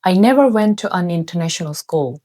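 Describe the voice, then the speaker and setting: Korean-accented female host, 30s, lowish pitch, earnest scripted video-essay read. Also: expressive, brisk, dark tone. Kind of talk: scripted narration.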